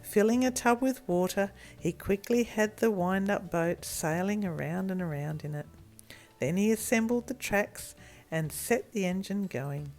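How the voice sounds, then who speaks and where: A woman reading a children's story in an expressive storytelling manner. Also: medium pitch, faint music behind. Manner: animated, deliberate storytelling with acted character voices.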